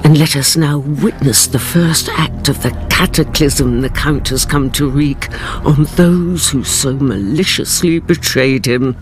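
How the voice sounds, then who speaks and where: An actress playing a grand, ominous storyteller, narrating a radio drama in a measured, portentous way. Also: female voice, sits low. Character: grand woman storyteller addressing honoured friends, ominous. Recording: radio drama with loud music behind.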